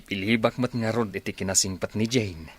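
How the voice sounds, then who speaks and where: An actor with a Filipino accent playing a steady, frank village friend in a radio drama. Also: male voice, on the low side, moderate pace, lightly acted. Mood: conversational.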